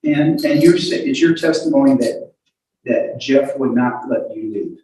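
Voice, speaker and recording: A man's voice: mid-range voice, dark tone. Man cross-examining in an echoey courtroom. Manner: halting, repeats opening words, insistent. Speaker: lawyer questioning a witness. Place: courtroom hearing. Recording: echoey courtroom, distant microphone.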